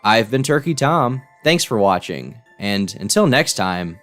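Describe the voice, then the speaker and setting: A man narrating a commentary video in a blunt, sardonic voice that sits a little low. He swears freely. Faint music plays underneath. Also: big pitch swings, a little slow, a bit bright. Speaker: YouTube commentator. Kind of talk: scripted documentary narration.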